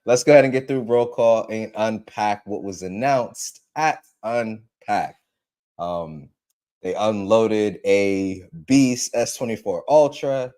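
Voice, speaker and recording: Laid-back lower-voiced man hosting a stream. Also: takes it slowly, a little mellow. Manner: laid-back, repeats phrases, greets viewers by name. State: congested from allergies. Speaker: livestream host. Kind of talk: casual tech livestream chat.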